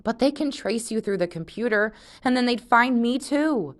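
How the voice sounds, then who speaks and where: A woman's voice: fairly high pitch, lively intonation, moderate pace, voice pushed up, close to an everyday voice. A woman reading aloud, voicing a frightened, doubtful young boy. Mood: panicky. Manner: panicky and doubtful.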